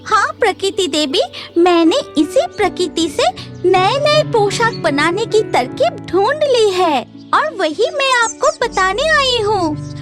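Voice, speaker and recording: Eager little cartoon tailor bird, super high and unhurried, music playing. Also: female voice, heavily acted. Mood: grateful. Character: earnest young weaver bird tailor, eager and grateful. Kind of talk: cartoon character acting. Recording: music behind the voice.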